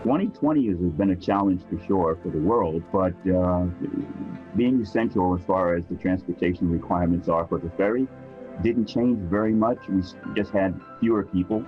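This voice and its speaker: A man who works on city ferries, answering interview questions in a halting way with many fillers. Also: deep voice, muffled recording, soft background music. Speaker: ferry worker.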